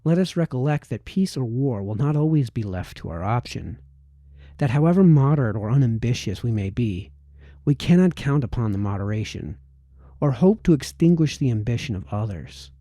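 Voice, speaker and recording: Man reading aloud in a very mellow voice. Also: mid-range voice, expressive, normal speed, no room sound, clean studio sound. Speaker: audiobook reader. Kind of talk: reading aloud formal historical political essays.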